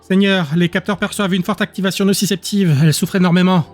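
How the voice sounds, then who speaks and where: A man's voice: high voice, little pitch movement, fast, faint music behind, voice pushed up, lightly acted. Man as a deferential, uneasy scientist. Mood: tense, dutiful. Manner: deferential, clinical. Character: anxious male scientist reporting dutifully to his lord.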